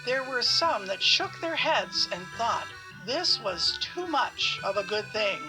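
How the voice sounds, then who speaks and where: A woman narrating a fantasy audio play in a measured storybook style. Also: on the high side, very animated, over music, low-quality recording. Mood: measured, wry.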